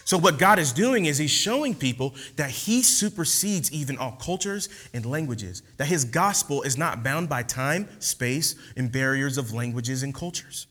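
A man's voice: mid-range voice, moderate pace, few pauses. Man preaching in an echoey hall. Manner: relaxed, slangy, animated teaching with many fillers. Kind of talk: casual sermon with opening prayer. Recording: long hall reverb.

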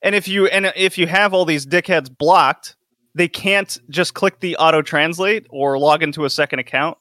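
Bright-voiced man, casual podcast talk. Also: on the high side, no room sound, studio quality. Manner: quick, laughing, rambling. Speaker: podcast host. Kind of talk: casual chat.

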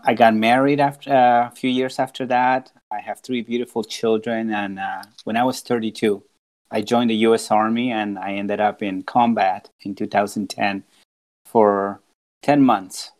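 A man's voice: lowish voice, fairly even intonation, a little slow, a little mellow. A man in his forties, from Peru, speaking as a podcast guest in an earnest, reflective way, often restarting and repeating words as he thinks. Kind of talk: casual podcast conversation.

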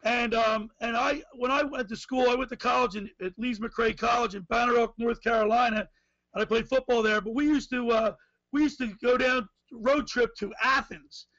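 Raspy high-voiced radio host man. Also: steady tone, normal speed, pushed and bright, dry recording, lo-fi sound. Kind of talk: radio sports talk.

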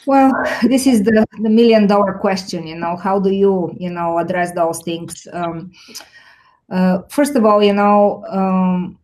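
A woman's voice: medium pitch, measured pace, a little mellow, low-quality recording. A woman in her forties from Bulgaria, giving thoughtful interview answers with frequent fillers and restarts. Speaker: guest, tech executive.

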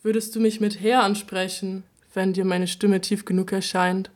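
A woman's voice: mid-range voice, level tone, moderate pace. A woman in a radio play speaking directly to the listener and asking a string of questions that invite them to imagine her. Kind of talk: scripted address to the listener.

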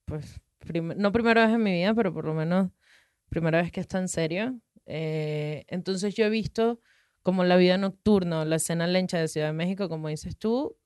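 A woman's voice: on the low side, moderate pace, dry recording, clean studio sound. A woman in her thirties with a Venezuelan accent, a podcast guest chatting casually and telling long, rambling stories with easy laughs. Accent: Venezuelan Spanish. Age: 30s. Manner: relaxed, rambling storyteller who laughs easily. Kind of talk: casual conversational interview.

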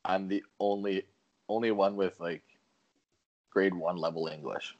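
Deep-voiced Canadian-raised man, guest on a video call; easygoing storyteller who laughs a lot. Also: a little slow, dull audio. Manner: relaxed storytelling, laughs often. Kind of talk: casual chat. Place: home in rural Japan.

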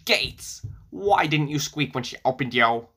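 A man's voice: a little high, unhurried, bright, forceful tone. A man voicing a cruel, enraged old witch in a storybook, shrieking her demands. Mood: furious.

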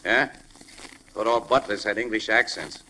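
An actor playing a guarded, terse, hard-boiled ex-convict who is tough but polite, in 1940s radio style. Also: male voice, fairly low pitch, fairly even intonation, moderate pace, pushed and bright. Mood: wary, terse. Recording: very noisy old-time radio recording.

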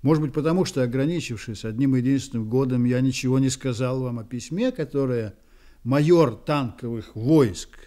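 An actor plays a reflective man narrating a radio drama about a friend. The voice is mellow and mid-pitched, musing and conversational, like someone telling a personal story. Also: moderate pace, close to an everyday voice.

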